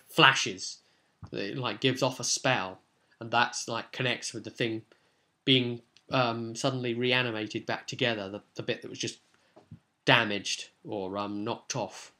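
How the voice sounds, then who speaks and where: A man's voice: medium pitch, a bit bright. A man hosting a tabletop role-play vlog, talking casually and off the cuff in a rambling, storytelling way. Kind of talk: casual unscripted game recap commentary.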